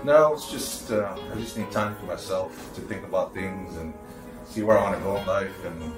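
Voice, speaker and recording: Cast: man as a young boyfriend breaking up, halting and hesitant, low voice, echoey room, guitar behind. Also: lightly acted.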